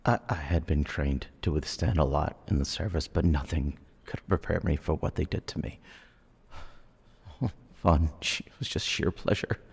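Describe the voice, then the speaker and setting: A man playing a menacing, traumatized war veteran turned killer suspect in an audio drama, with a gravelly, raspy voice and a halting, brooding delivery. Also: a bass voice, a little mellow, exaggerated voice. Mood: shaken. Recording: long cavernous reverb.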